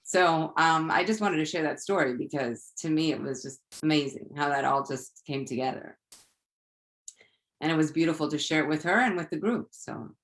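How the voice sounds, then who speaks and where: A woman's voice: low-pitched, normal speed. Woman casually telling a personal story. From Florida. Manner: animated retelling with acted-out dialogue. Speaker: moderator. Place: online live video call.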